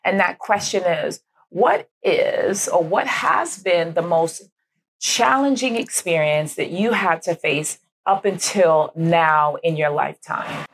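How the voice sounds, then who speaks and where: A woman's voice: on the low side, slow pace, husky, poor audio. A woman hosting a podcast, effusive and affirming, often repeating her own phrases as she asks questions. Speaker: podcast host. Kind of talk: warm-up intro and interview questions.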